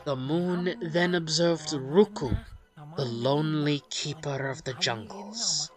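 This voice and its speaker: A woman tells a game's backstory like a storybook, slowly and with measured phrasing. Faint music plays behind her narration. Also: deep voice. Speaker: let's play narrator. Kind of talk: storybook-like narration of game lore.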